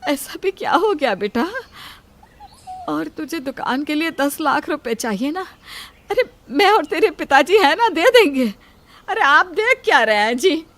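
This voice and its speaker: A woman's voice: high voice, sing-song, a little slow, a bit bright, voice pushed up, exaggerated voice. A woman voicing a worried, self-sacrificing elderly mother in an emotional, pleading way for a moral story. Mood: alarmed, urging.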